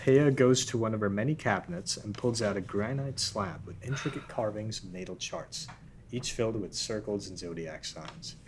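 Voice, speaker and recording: A man's voice: lowish voice, moderate pace, noisy background. A man reading the stage directions aloud in a casual table read.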